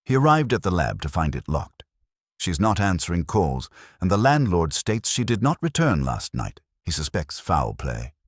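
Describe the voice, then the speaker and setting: A man voicing an aloof, precise older detective in clipped, unhurried dialogue. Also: deep voice, big pitch swings, no room sound, studio quality. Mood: commanding. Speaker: armchair detective. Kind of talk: audiobook dialogue.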